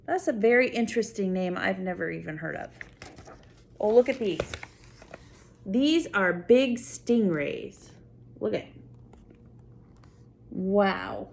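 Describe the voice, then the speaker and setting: Older female, grandmotherly story-time reader. Slow pace, lively swooping intonation, expressive and wide-eyed, talking to little kids. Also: medium pitch, gravelly, a little hiss or hum. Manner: expressive, full of wonder, simple explanations for small children. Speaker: grandmother reading a picture book to children. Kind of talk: read-aloud story time with spontaneous asides to a child.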